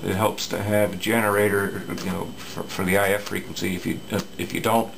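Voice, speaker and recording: A man's voice: low-pitched, level tone, brisk, vocal fry, a bit bright, a little hiss or hum. A man talking casually at his workbench, explaining a radio repair with many fillers and restarts.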